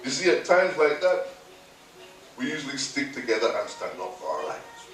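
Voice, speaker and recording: A man voices an older man spinning memories of 1950s London in a lively, swooping voice, breaking into laughter between lines. The sound is very roomy and set back from the mic. Faint music sits behind. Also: mid-range voice, moderate pace, far from the mic. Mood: indignant. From Barbados. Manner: storytelling, animated, laughing asides. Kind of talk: theatrical monologue.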